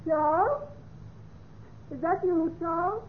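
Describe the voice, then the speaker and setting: An actress playing a gentle, trusting, concerned wife in an old radio mystery. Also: female voice, very high voice, takes it slowly. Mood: anxious, calling out. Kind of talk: 1940s-50s radio mystery acting. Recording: tinny, faintly noisy vintage radio recording.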